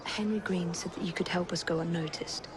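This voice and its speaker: A woman playing a sharp, exacting young assassin in a video game cutscene, crisp and no-nonsense. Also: lowish voice, steady tone, brisk, background noise, natural voice. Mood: polite, businesslike.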